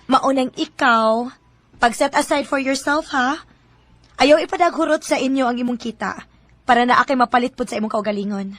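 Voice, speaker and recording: An actress playing a worldly, chatty female coworker who hands out advice in a radio drama, expressive, with a Cebuano Filipino accent. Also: high-pitched, moderate pace, no echo. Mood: knowing advice.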